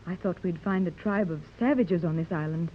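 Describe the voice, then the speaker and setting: A woman's voice: lowish voice, a little fast, close to an everyday voice. Woman acting an anxious, determined 1930s radio heroine. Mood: puzzled. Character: anxious, determined wealthy mother searching for her daughter. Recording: muffled, noisy 1930s radio recording.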